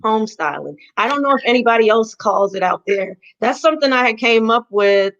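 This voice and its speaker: A woman's voice: a little high, expressive, a bit bright, dull audio. A woman with a Boston accent, a real estate agent guest talking enthusiastically about her plans. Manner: enthusiastic, talkative, spells things out slowly. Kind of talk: casual interview chat.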